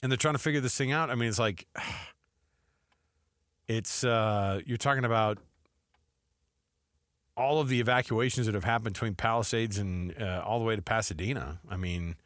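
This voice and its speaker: A middle-aged American man co-hosting sports talk radio with casual, animated, colloquial banter. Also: on the low side, moderate pace, pauses often, dry recording, studio quality. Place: radio studio. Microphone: close studio microphone.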